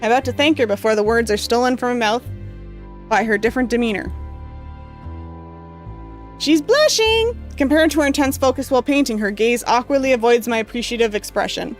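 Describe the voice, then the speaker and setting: A woman's voice: on the high side, fairly quick, pauses often, soft background music. A woman streamer who reads a visual novel's narration aloud and chats casually with viewers, with lots of fillers. Her voice is going from so much reading, and she coughs now and then. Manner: casual, rambling, heavy on fillers.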